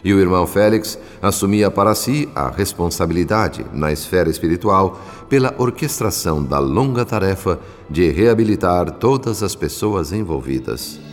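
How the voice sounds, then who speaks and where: An actor with a Brazilian accent playing a solemn spirit guardian who narrates a radio drama in formal, measured, literary diction. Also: male voice, low voice, lively intonation. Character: solemn male spirit guardian recounting a family's troubles. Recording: long reverb, music bed behind. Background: music.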